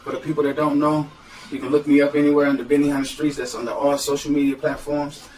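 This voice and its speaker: A man with a Detroit accent, a guest rapper telling stories in slangy, animated casual podcast talk. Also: fairly high pitch, fast, reverberant, recorded from a distance, low-quality recording. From Detroit, USA.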